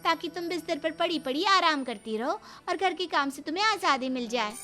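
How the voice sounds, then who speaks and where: Woman playing very high, sharp jealous young wife. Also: expressive, a little fast, soft background music. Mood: accusing. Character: jealous, sulky, quarrelsome young daughter-in-law. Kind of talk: dramatised Hindi family story.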